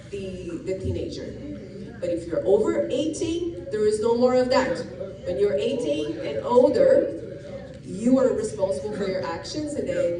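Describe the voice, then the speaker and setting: A middle-aged woman from Brazil, a police officer, explaining things plainly to students with many restarts. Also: mid-range voice. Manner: explanatory, frequent restarts and fillers. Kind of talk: informal talk to students. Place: school classroom or gym. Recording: echoey room, distant and noisy.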